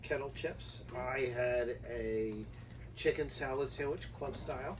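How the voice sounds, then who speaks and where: A man's voice: mid-range voice, measured pace. A man chatting casually and enthusiastically as co-host of a travel vlog with his partner. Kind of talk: casual unscripted chat with partner. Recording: distant mic, noisy ambient background.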